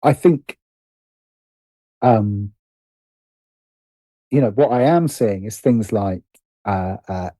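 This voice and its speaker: A man with a very mellow, dark-toned voice talks in a casual interview. He feels his way through sentences, doubling back and rephrasing. The sound is dry, with little room around it. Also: fairly low pitch, animated, slow, pauses often, no echo. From United Kingdom. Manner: hesitant, repeats and rephrases phrases as he thinks. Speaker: guest, writer and producer.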